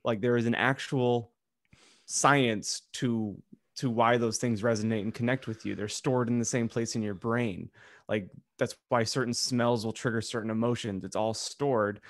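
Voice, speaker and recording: A man's voice: medium pitch, fairly even intonation, moderate pace, no echo, clean studio sound. A man co-hosting a podcast, talking casually in a rambling, jokey, sometimes crude way. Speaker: podcast co-host. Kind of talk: casual group banter and opinion.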